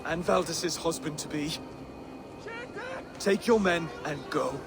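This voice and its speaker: A man's voice: a little high, slow pace, noisy background. An actor playing a proud, defiant Saxon would-be king in a video game, speaking firmly and formally. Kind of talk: video game cutscene acting.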